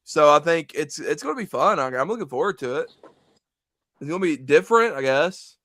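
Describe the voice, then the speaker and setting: A man rambles eagerly as a podcast guest, scattering filler words through his talk. His voice is a little higher than most men's, and the recording is close and dry, with no room around it. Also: expressive, fairly quick, a bit bright, no room sound. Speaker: guest wrestler. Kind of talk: casual interview chat.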